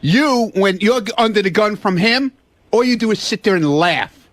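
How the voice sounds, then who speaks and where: A man's voice: sits high, normal speed, dry recording. A man in a heated on-air argument in a radio studio, defensive and swearing, insisting on his point. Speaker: show staffer. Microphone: studio microphone.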